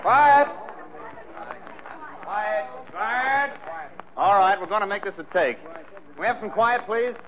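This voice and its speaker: High-pitched bossy film director. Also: male voice, takes it slowly, pauses often, a little hiss or hum. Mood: commanding. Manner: commanding, impatient. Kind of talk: old-time radio drama acting. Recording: tinny vintage radio, bustling background.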